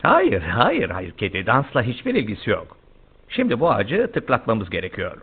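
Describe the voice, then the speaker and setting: Animated cartoon tree doctor. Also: male voice, on the low side, normal speed, slight background noise. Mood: enthusiastic teaching. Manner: explanatory, animated, encouraging. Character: enthusiastic, kindly tree doctor teaching children. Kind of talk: animated children's cartoon dubbing. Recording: tinny, band-limited audio with loud music behind.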